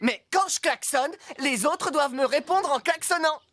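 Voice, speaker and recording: A woman's voice: sits high, moderate pace, a bit bright, dry recording. A woman voicing a playful, cheeky little boy vehicle in a children's cartoon, boisterous and sure of himself. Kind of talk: French children's cartoon dub.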